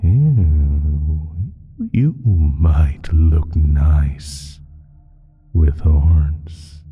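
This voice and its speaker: Ancient evil monster for an audio drama, super low and really slow, breathy, gloating, toying with you. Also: male voice, narrow, tinny audio, exaggerated voice. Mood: sinister musing. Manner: slow, drawn-out, breathy whispering, condescending. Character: ancient evil male creature, gloating, playful, menacing. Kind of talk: scripted roleplay audio drama monologue.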